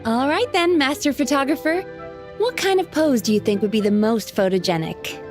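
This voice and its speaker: High, lilting, gracious young shopkeeper. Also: female voice, expressive, normal speed, soft background music. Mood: delighted, polite. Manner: polite, warmly appreciative. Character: gracious, cheerful young female shopkeeper at a festival. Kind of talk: video game character dialogue.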